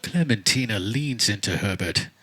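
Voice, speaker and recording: A man playing the formal narrator of a mock-romantic radio play, reading florid scripted stage directions deliberately. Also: fairly low pitch, animated, sharp tone, breathy edge, full character voice. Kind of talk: scripted radio theater narration before a live audience. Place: backyard, before a live audience.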